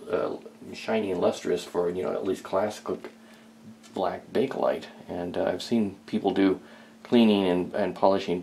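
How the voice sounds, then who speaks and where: A man's voice: low-pitched, creaky, a little mellow, a little hiss or hum. A man chatting casually and hesitantly as he thinks aloud during hands-on hobby work in a small home workshop. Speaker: hobbyist restorer, video host.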